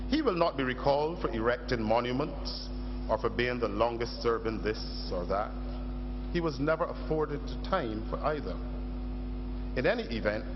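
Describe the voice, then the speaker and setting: A man reads a formal eulogy in a measured, oratorical way, pausing deliberately and stressing his phrases. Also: mid-range voice. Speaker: eulogist at a state funeral. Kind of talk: formal scripted eulogy read aloud. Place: public funeral service. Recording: muffled, noisy recording with hum.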